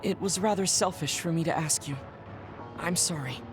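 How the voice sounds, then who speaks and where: A man voicing a reserved young male soldier who hides a secret, speaking formally and softly. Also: a little high, moderate pace, natural voice. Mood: worried, formal. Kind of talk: game cutscene dialogue. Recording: game audio with music behind.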